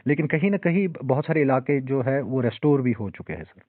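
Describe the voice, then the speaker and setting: A man's voice: medium pitch, animated, phone-quality sound. A man with a Kashmiri accent reporting and interviewing as a news journalist, hesitant and repeating himself as he goes. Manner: hesitant, halting delivery with repeated phrases.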